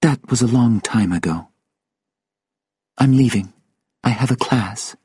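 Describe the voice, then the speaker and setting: A solemn, guarded woman in an audiobook thriller, acted out by a male narrator. The voice is lifted well above the reader's own and slightly husky. It moves softly and deliberately, with grief and nerves underneath. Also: medium pitch, dry recording, studio quality, voice pushed up. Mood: firm, hurt. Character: grieving, solemn African woman interpreter, nervous and guarded.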